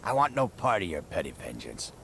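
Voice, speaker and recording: An actor playing a cornered, scornful beast captive in a video game, agitated and contemptuous. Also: male voice, low-pitched, sing-song, fairly quick, a bit bright, slight background noise, heavily acted. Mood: scornful, pleading. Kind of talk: dramatic video game acting.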